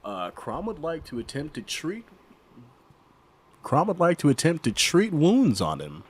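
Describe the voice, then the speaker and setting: Scratchy husky guy playing ttrpg, pitch swings around, casual banter. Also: huge pitch swings, measured pace. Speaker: tabletop game player.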